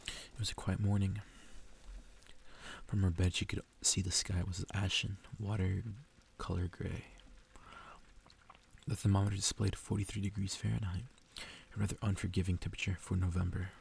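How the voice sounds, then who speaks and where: A man with a deep, gravelly voice reads a story in a hushed ASMR style. His delivery is intimate and very even in pitch, drifting into whispers. There is faint noise behind him. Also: moderate pace. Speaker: ASMR reader. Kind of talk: ASMR, soft reading aloud of a story.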